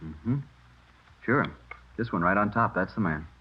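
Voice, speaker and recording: Brisk, suspicious American pharmacist, low rough voice, dull vintage radio recording. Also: male voice. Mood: assured, indignant. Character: brisk, suspicious male neighborhood druggist. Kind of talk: 1950s radio drama acting.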